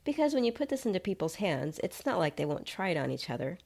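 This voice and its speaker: A woman's voice: on the low side, very animated, quick pace, creaky, a little mellow, exaggerated voice. A woman voicing a nonchalant male app developer in a short story, chatty and explanatory while inhabiting someone else's body. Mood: casual, chatty.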